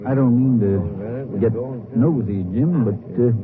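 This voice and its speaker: A man's voice: medium pitch, slow, a crowd in the background, lightly acted. A man playing a mild, folksy small-town sheriff in a 1950s radio western. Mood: easygoing. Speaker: radio actor. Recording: muffled old radio recording with music.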